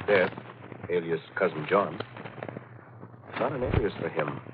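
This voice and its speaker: An actor playing a calm, matter-of-fact detective in a 1940s radio thriller, dry and steady. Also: male voice, deep voice, unhurried. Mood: dry, explaining. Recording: tinny, noisy old radio recording.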